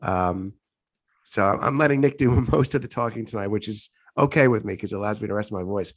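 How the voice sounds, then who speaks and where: Male host, hoarse and congested, easygoing interviewer. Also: on the low side, a little fast. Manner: easygoing, asks questions. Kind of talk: casual interview chat.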